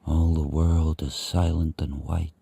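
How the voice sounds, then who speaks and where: An actor playing a grim, watchful sled dog who narrates his harsh life in spare, deliberate sentences for a radio play. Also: a bass voice, little pitch movement, creaky, mellow, husky, heavily acted. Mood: hushed, bleak. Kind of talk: first-person dramatic narration in a radio play. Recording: faint background noise.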